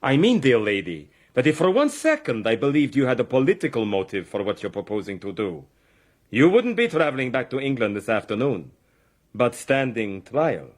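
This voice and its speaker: An actor playing a stern, suspicious baron in a radio drama, speaking in a formal, condescending way. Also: male voice, mid-range voice, very animated, moderate pace. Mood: suspicious, threatening.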